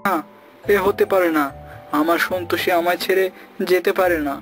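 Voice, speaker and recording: A man playing a lovesick young prince in a cartoon story, melodramatic and desperate, halting and repeating his words. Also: high-pitched, fairly even intonation, a bit bright, faint music behind. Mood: shocked grief. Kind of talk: dramatic cartoon story acting.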